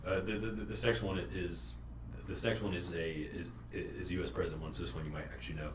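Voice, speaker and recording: A man's voice: deep voice, little pitch movement, fast talker, noisy background. A young adult man hosting a trivia podcast, asking questions hesitantly with many restarts. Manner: hesitant, lots of fillers and restarts. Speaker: podcast host, quizmaster. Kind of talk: casual trivia chat. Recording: roomy echo, faint ambience.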